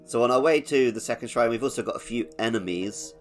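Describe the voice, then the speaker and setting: A man's voice: mid-range voice, fast talker, faint music behind. A man hosting a podcast, chatting casually about a video game. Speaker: podcast host.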